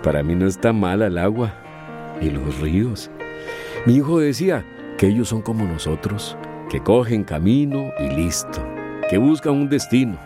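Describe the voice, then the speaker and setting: Actor as a deep, weary migrant farmer. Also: male voice, animated, music in the background, natural voice. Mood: wistful. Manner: slow, rueful, murmuring hums. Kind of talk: radio drama acting.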